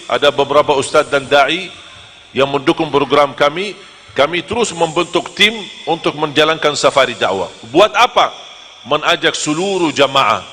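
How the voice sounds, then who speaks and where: A man from Medina, preaching to a live audience in a lively, joking storytelling manner. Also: a little high, in a large hall, slight background noise. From Medina, Saudi Arabia. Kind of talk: humorous sermon to a live audience.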